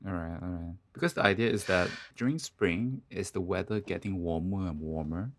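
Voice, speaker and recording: A man's voice: low-pitched, normal speed, dry recording. A man, co-hosting a podcast in casual, conversational talk. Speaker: podcast co-host. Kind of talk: casual chat.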